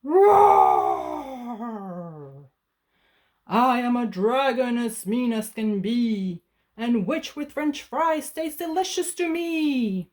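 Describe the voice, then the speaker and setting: A woman voicing a fierce, hungry male dragon who roars and shouts. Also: a little high, huge pitch swings, extremely slow, pauses often, voice pushed up, heavily acted. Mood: menacing. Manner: roaring, shouting.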